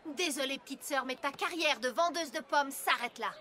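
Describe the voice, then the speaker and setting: A woman's voice: sits high, normal speed, very noisy, close to an everyday voice. A woman voicing a caring but firm big-sister farm pony in a French cartoon dub, speaking in a familiar, reassuring way. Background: loud music.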